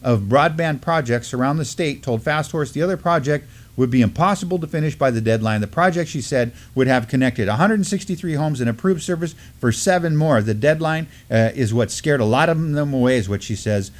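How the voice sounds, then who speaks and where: A middle-aged man co-hosting a news show, reading and discussing articles in an informal, halting way. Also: mid-range voice, lively intonation. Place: indoor studio at a desk.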